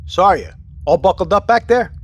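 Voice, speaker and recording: An actor playing a steady, pragmatic submarine captain in an audio drama, with a clipped, commanding, matter-of-fact manner. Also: male voice, high voice, normal speed.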